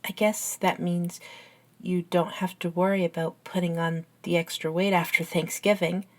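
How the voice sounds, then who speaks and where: A woman playing a caring, curious, science-minded young best friend in an ASMR roleplay, with an earnest, halting, gently teasing manner. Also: lowish voice, level tone, close to an everyday voice. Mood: casual, teasing. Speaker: amateur audio roleplay voice actor.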